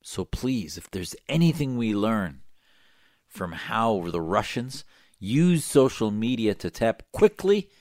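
A man's voice: lowish voice, sing-song, slow, a little mellow, dry recording, studio quality. A man giving opinionated talk-show commentary in an emphatic, sarcastic, combative manner. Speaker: political commentator.

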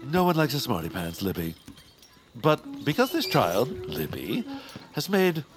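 An actor playing a pompous, grumpy villainous baron who grudgingly softens, in a comic audio drama. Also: male voice, medium pitch, wildly swooping pitch, unhurried, over music. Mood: grandly grudging. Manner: pompous, dismissive, theatrical. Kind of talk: comic audio fiction acting.

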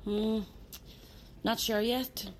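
A woman's voice: on the high side, flat delivery, drawn-out words, a little hiss or hum. Blunt, menacing sardonic woman. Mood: dry, sardonic. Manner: blunt, sarcastic. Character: menacing, sardonic woman searching museum for something. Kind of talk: audio drama acting.

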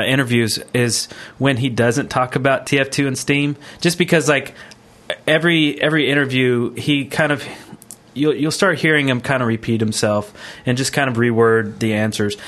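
A man's voice: medium pitch, fairly bright tone. Man chatting casually on a podcast. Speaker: podcast co-host.

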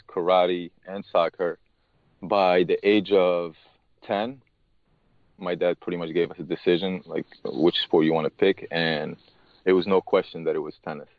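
A man, a tennis coach being interviewed, speaking haltingly and often restarting his words. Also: low voice, no echo, lo-fi sound. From Morocco. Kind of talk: casual interview talk.

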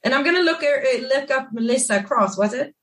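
Raspy Swedish woman, a bit off mic. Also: high-pitched, animated, brisk, a bit bright, distant mic. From Sweden. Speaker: singer, guest. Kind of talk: casual conversation.

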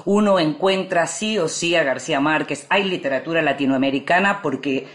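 Tentative Rioplatense woman interviewing. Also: low voice. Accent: Rioplatense Spanish. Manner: tentative, halting, thinking aloud. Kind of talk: conversational literary interview.

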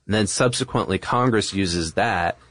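A man's voice: sits low, moderate pace, fairly bright tone, no echo. A man co-hosting a podcast, explaining things in a casual, conversational way with asides. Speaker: podcast co-host.

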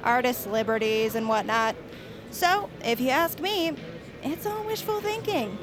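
High-voiced, perky young superfan. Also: female voice, animated, music in the background. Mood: perky, excited. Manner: brisk, perky. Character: upbeat, bossy young superfan and livestreamer. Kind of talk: audio drama, chirpy livestream patter.

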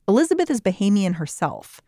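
A woman hosting a news podcast, narrating a scripted segment in a brisk, clear storytelling manner. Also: medium pitch, very animated, dark tone, no echo, studio quality. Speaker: podcast host.

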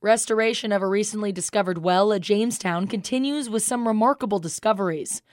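A woman's voice: mid-range voice, a little fast, no room sound, studio quality. A woman with an American accent reading a scripted radio newscast as the anchor. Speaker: news anchor.

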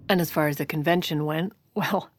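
A woman's voice: low-pitched, fairly even intonation, fairly quick. An actress playing a proud, sardonic aging former First Lady in an audio drama, wry and haughty with formal wording. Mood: wry, reflective. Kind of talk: audio fiction drama, first-person memoir narration and dialogue.

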